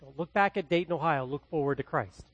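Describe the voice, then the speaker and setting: A man from the Midwest with a Midwestern accent, preaching a verse-by-verse sermon as pastor, swinging between loud and quietly hushed delivery. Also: a little high, fast, no echo, dull audio. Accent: Midwestern American. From American Midwest. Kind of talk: expository sermon.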